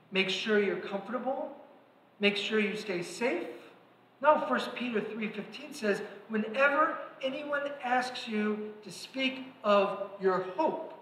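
A middle-aged man preaches from the pulpit with a high, bright, forceful voice. He is earnest and swells into emphatic calls to his listeners. The room adds a roomy sanctuary echo. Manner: earnest, rising to emphatic exhortation, gesturing. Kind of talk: sermon. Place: large church sanctuary, at a pulpit. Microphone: pulpit mic. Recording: echoey sanctuary reverb.

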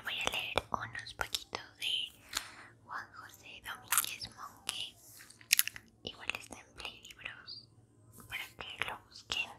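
Young woman whispering slow, soothing ASMR. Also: a bass voice. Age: 20s. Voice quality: breathy unvoiced whisper. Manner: slow, soothing, deliberate pauses. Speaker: ASMR host. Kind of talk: whispered ASMR poetry reading and chat. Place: small room at home, indoors. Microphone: large foam-covered microphone very close to mouth.

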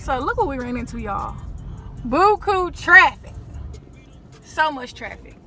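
A woman's voice: high-pitched, very animated, a little slow, over music. A young woman, vlogging casually on a phone held at arm's length and talking to viewers as y'all. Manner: laid-back, drawling, talks to viewers as y'all. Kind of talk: casual vlog chat. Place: outdoor carnival at night. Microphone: phone camera held at arm's length. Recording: noisy outdoor phone recording.

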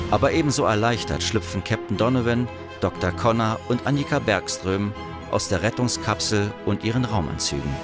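A man reading scripted narration for a radio drama as its narrator. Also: fairly low pitch. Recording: loud music behind the voice. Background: music.

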